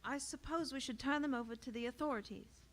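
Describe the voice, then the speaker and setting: A woman's voice: high voice, brisk, fairly bright tone. An actress playing an uneasy, sympathetic woman in an audio theater drama. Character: uneasy, sympathetic woman who found secret journals.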